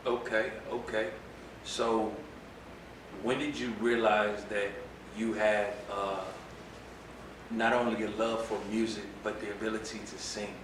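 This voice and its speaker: A man with a low, flat voice asks brief, curious interview questions. He is heard from some distance in a roomy space, with clear background noise around him. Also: unhurried.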